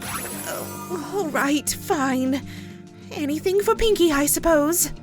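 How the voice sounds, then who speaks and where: Woman as a prim, fussy, slow-speaking cartoon unicorn lady. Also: high-pitched, very animated, heavily acted. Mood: reluctant. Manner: fussy, drawn-out, disdainful. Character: prim, fashion-conscious female cartoon unicorn pony. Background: music.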